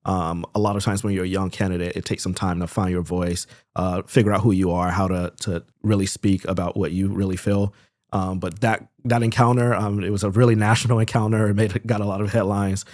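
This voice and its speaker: A man's voice: sits low, level tone, fairly quick, a little mellow, no echo, clean studio sound. A young man from Florida running for Congress, answering interview questions earnestly with frequent ums and uhs. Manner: earnest, fills with "um" and "uh". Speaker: congressional candidate.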